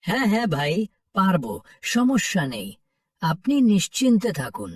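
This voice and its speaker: A man's voice: high voice, wildly swooping pitch, moderate pace, darkish tone, slightly rough, no room sound, studio quality, recorded from a distance, full character voice. A man playing an easygoing village watchman and husband in a cartoon folk tale, speaking in a casual, reassuring way.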